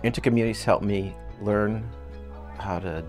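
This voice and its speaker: A man's voice: on the low side, fairly even intonation, moderate pace, a little mellow. A man giving a personal testimonial as a client, in an interview style. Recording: music playing under the voice.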